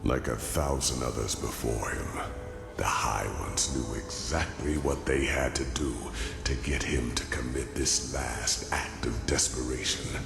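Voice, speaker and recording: A man playing an ancient, weary sage in a fantasy game, speaking gravely and deliberately in a gravelly voice. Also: rumbling low, heavily acted. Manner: grave, slow, deliberate, brooding. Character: ancient weary male sage revealing bleak cosmic truths. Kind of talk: solemn dramatic game monologue. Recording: echoey voice over clear background music.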